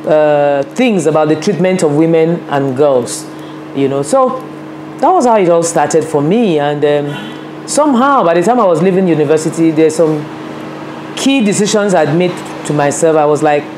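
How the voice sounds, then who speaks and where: A woman's voice: deep voice, lively intonation, moderate pace, noisy background. A Nigerian woman in her fifties, recorded in her office by a camera across the desk, earnestly telling her life story with many "you know" asides. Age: 50s. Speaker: interviewee, women's rights advocate. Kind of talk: reflective personal storytelling. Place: office with a large window, seated at a desk.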